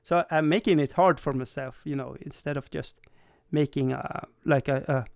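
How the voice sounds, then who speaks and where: A man's voice: a little high, fairly even intonation, moderate pace, gravelly, no room sound. Rambling man, dry close sound. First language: Swedish. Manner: rambling, self-deprecating, frequent stammered restarts and laughs. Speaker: livestream host. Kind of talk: casual livestream chat.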